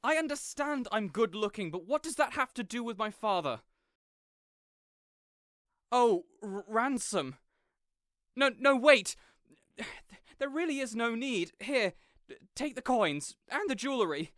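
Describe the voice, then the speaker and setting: A man's voice: very high voice, lively intonation, a little slow, pauses often, a bit bright, dry recording, studio quality, heavily acted. An actor playing a spoiled, arrogant young nobleman in an audio roleplay drama, theatrical and sarcastic, sneering at his guard. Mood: panicked.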